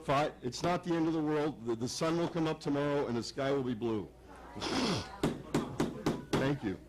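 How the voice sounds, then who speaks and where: A hoarse man speaking haltingly at a village commission meeting, often repeating words. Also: fairly high pitch, noisy background. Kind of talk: public meeting remarks.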